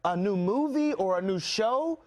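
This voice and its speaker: A man's voice: sits high, lively intonation, unhurried, darkish tone. A man hosting an interview with casual, chummy and playful banter. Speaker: host.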